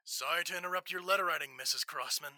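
A young man playing a stern, invisible crime-fighting investigator in classic radio drama style, measured, formal and ominous. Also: a little high, close to an everyday voice. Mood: quiet menace. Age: young adult.

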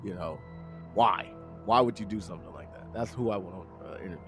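A man's voice: low-pitched, fast, music in the background. A man in his thirties co-hosting a casual podcast, laid-back and slangy, turning emphatic when he gets worked up. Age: 30s. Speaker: podcast co-host. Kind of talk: casual live podcast chat.

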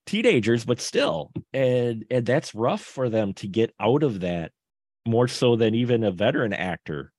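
A man's voice: medium pitch, big pitch swings, a little slow, a little mellow, no room sound. A man talking casually as a panelist on a podcast, conversational and often checking in with the listeners.

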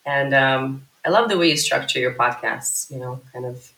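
A woman in her thirties talks animatedly as a podcast guest, winding through long sentences with plenty of fillers. Her voice is bright and sharp. It rings in a noticeably echoey room. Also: extremely low pitch, normal speed. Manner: animated, rambling, many fillers and restarts. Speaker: startup CEO guest. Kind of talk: casual podcast interview.

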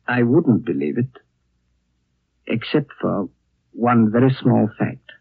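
An actor playing a reflective storyteller recounting a strange tale in a deliberate, suspenseful 1930s radio style. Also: male voice, mid-range voice, animated, creaky, breathy edge, dry recording, narrow, tinny audio, heavily acted. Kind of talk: 1930s radio acting, dramatic narration. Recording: thin, dry 1930s radio broadcast.

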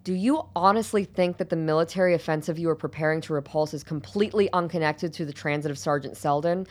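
A woman playing a low-voiced, insistent scientist. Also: close to an everyday voice. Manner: insistent, measured, explanatory. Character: resolute, guarded woman scientist in a rebel movement. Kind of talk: radio drama acting.

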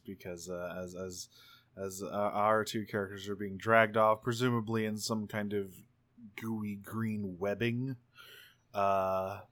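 Bright-voiced man hosting, close and dry. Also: lowish voice, dry recording. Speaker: podcast co-host. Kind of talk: casual hosting of an actual-play podcast.

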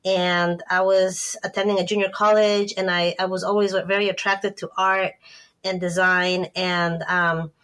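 Chatty Ecuador-born woman, lowish voice. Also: flat delivery, a little slow, a bit bright. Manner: chatty, lots of fillers and restarts. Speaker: guest photographer. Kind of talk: casual interview talk.

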